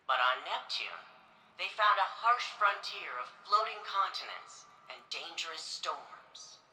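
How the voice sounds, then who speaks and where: A spirited, nerdy young archivist in a video game, chatty and playful. Her voice sits slightly low but moves a lot. It has a bright, forward tone. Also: full character voice, poor audio. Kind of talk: video game dialogue and narration.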